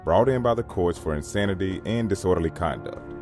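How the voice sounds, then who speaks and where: A man's voice: deep voice, expressive, brisk, over music. An actor playing a protective, hot-headed young man in an audio horror drama, assertive and earnest. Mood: intent, grim.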